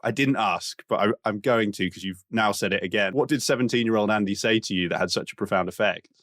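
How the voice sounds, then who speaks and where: A man's voice: on the low side, fast, fairly bright tone, no echo, clean studio sound. A man hosting a podcast interview, asking long, winding questions and agreeing readily with his guest. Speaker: podcast host.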